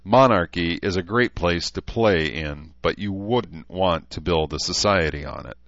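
A man voicing a thoughtful, principled leader who speaks in a measured, earnest way. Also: low voice, a bit bright, dry recording, dull audio.

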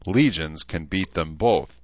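A man voicing a thoughtful, principled leader who speaks in a measured, earnest way. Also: low voice, no room sound, highs cut off.

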